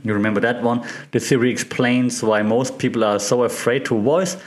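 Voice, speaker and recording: A man with a German accent talks as a solo podcast host at an average male pitch. He explains things informally and conversationally. His delivery is halting, with repeated words and small check-ins aimed at the listener. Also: fairly even intonation.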